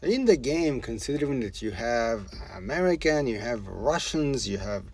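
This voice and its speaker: A man's voice: medium pitch, sing-song, moderate pace, slightly rough, no echo. A man with a thick Israeli accent, a native Hebrew speaker, giving casual reaction commentary in a rambling, profane way with frequent restarts. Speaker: video reviewer.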